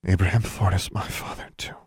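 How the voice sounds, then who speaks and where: A man's voice: low-pitched, fairly even intonation, fairly quick, slightly rough, no room sound, studio quality. A man voicing a distressed, protective mother in a story, agitated and reproachful. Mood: remorseful, pleading.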